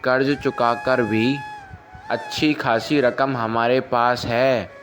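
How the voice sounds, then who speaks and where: A man voicing a gentle, respectful and reassuring village wife in an animated moral story. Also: medium pitch, slow, slight background noise, exaggerated voice. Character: gentle, devout, supportive village wife, adult woman. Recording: music behind the voice.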